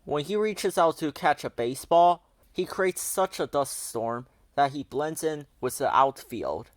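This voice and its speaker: A man's voice: on the high side, animated, measured pace, no room sound. A man narrating a children's picture book aloud as a storyteller.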